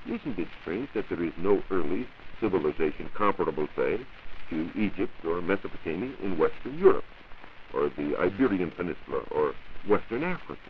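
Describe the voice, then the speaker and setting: A man with a very deep voice explains science in a formal, precise way. His pitch moves around in a lively, animated fashion. It is an old 1940s radio broadcast with a dull sound and some faint hiss. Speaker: science reporter and radio panelist.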